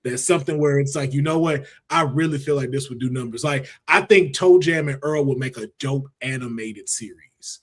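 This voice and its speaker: A man chatting casually as a podcast host, with an animated, slangy, sometimes profane way of talking. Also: mid-range voice, moderate pace, hardly any pauses. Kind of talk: casual chat.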